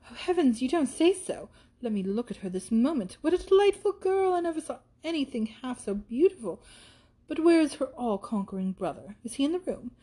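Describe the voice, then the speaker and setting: A woman's voice: high-pitched, very animated, fairly quick, dark tone, husky, pitched above the performer's own voice, exaggerated voice. A woman voicing a gushing, flighty young woman in a period storybook, coquettish, exclamatory and affected as she scolds.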